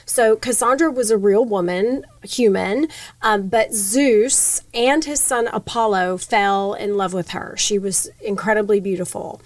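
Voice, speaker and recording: A woman who produces the podcast, chatting on a panel and retelling a myth in a lively way. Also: mid-range voice, unhurried, no room sound, recorded from a distance. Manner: storytelling, animated retelling. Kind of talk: casual panel conversation.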